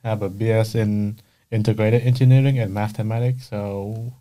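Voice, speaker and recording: A man's voice: on the low side, level tone, moderate pace, dark tone. A young man from Vietnam, a student co-host, asking questions with hesitant restarts. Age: young adult. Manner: hesitant, restarts his sentences.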